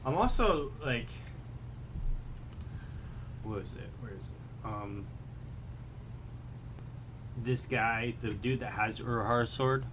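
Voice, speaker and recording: A man's voice: mid-range voice, lively intonation, slow, pauses often. A man co-hosting a casual podcast chat, speaking in an informal, slangy, sometimes profane way. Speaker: podcast co-host. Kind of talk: casual chat about manga. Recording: noticeable background noise.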